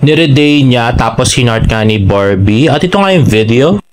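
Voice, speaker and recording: A man's voice: mid-range voice, animated, moderate pace, slight background noise. A man with a Filipino accent hosting a fan vlog, chatting casually as he reads out social media posts and comments on them. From Philippines. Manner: chatty, mixes Tagalog and English. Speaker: fan vlogger and host.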